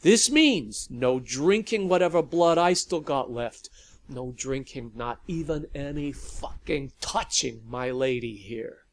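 A man's voice: on the high side, big pitch swings, unhurried, darkish tone, dry recording, voice pushed up. A man voicing a tough, foul-mouthed woman vampire who barks and snarls her orders. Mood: barking. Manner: barking, snarling, gruff.

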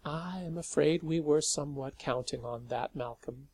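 A calm, gentle nun speaks softly and with quiet sureness, voiced by a male reader. The tone is husky with a gravelly texture. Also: a little high, normal speed, no room sound. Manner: soft, quiet, composed.

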